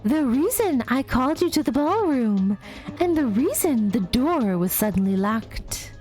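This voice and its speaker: A high, bouncy voice for a gleefully cruel bear mascot from a game, put on by a woman. Every line swoops up and down, slow and teasing, with faint music underneath. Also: very animated, a little mellow. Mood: gleeful taunting. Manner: sing-song, teasing, drawn-out delivery. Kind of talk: voicing a game's dialogue aloud.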